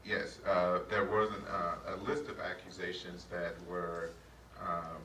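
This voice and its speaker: Deep-voiced man testifying, halting and formal. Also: fairly even intonation, bright, forceful tone. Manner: hesitant, many filler pauses, says "yes, sir". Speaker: school district official answering questions. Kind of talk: formal testimony at a public meeting. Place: public board meeting room. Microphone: shared table mic, often off-axis. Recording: echoey room, distant mic, noisy.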